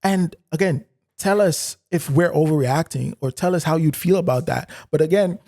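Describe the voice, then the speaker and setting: A laid-back Canadian man co-hosting a podcast, with a voice pitched a little high for a man. He talks in casual slang and prompts his partner. The sound is close-miked and dry, in a quiet room. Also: normal speed, no echo. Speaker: podcast co-host. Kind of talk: casual conversation.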